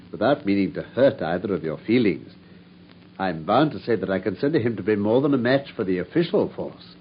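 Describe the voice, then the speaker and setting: An actor playing a brilliant, self-assured male detective in a radio drama, speaking in a crisp, measured way. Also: fairly low pitch, animated, close to an everyday voice. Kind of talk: 1950s radio drama acting. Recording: dull old radio broadcast with faint hiss.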